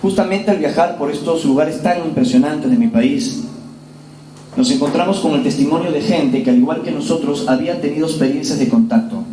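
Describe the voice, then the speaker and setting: A man in his forties from Peru giving a public lecture, telling his story to the audience in an earnest, measured way. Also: fairly high pitch, mellow, far from the mic, slight background noise. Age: 40s. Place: lecture hall. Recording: roomy hall with faint ambience.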